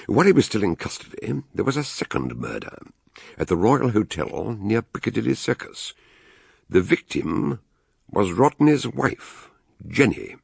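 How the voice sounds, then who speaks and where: A ponderous older British detective for radio drama. The voice is very low and husky, swinging widely in pitch. He weighs his phrases with dramatic gravity. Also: sing-song, unhurried, darkish tone, no room sound, studio quality, heavily acted. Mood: measured, grave. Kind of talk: radio drama acting, narrated case notes.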